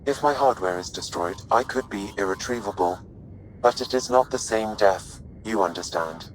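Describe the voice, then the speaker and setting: A man playing a polite, measured artificial intelligence in a video game, speaking formally, precisely and without emotion. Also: on the low side, slight background noise, close to an everyday voice, rough recording.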